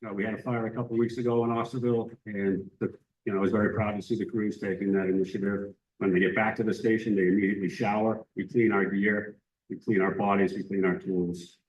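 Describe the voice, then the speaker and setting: Deep-ish fire chief guy explaining stuff at a town meeting, measured. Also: flat delivery, highs cut off. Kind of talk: public meeting presentation. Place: library meeting room.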